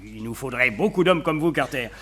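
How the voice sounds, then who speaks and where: An actor playing a courteous, effusive city mayor with a hearty, slightly pompous manner in a vintage radio drama. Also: male voice, mid-range voice, lively intonation, brisk, a bit bright, slightly rough. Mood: grave, worried. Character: jovial, flattering male city mayor, courteous yet worried.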